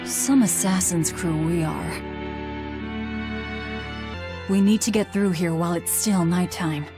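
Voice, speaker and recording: A woman's voice: on the low side, slow pace, loud music behind the voice. A woman playing a gloomy, hard-bitten young assassin in a video game, curt, blunt and scornful. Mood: cold, stern.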